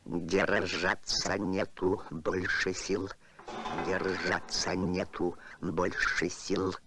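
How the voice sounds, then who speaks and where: A man voicing a talking alien bird in an animated film, repeating overheard phrases like a parrot. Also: low voice, drawn-out words, full character voice. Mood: mimicking. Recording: noisy old film soundtrack.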